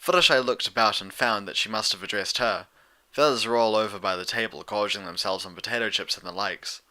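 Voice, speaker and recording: A man narrates fiction from a script. His voice sits a little low, with a very bright, forceful tone. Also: a little fast. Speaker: fan fiction reader.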